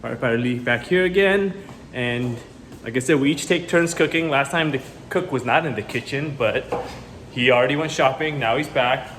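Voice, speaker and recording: A man's voice: mid-range voice, moderate pace, fairly bright tone. A firefighter from Los Angeles, casually explaining his job and gear to a class of children. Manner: easygoing, explains plainly with fillers. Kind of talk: casual career-day talk to children. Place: fire station. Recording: echoey station room, far from the mic, noisy.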